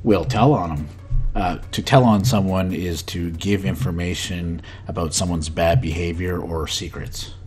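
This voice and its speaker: A man's voice: sits low, measured pace, darkish tone, soft background music. A man in his forties teaching English with matter-of-fact definitions and scripted dialogue.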